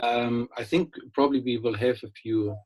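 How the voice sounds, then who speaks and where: A middle-aged man with a German accent gives a relaxed webinar talk through a computer mic. His voice is slightly husky and sits at an ordinary pitch. He restarts sentences often and fills pauses as he goes. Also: rough recording. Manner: hesitant, many fillers and restarts, friendly. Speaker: guest speaker, business lecturer. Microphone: computer mic on a video call.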